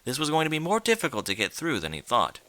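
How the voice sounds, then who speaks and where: Lively, dramatic male story narrator. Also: on the high side, fast, no room sound. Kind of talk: dramatic scripted fan fiction narration.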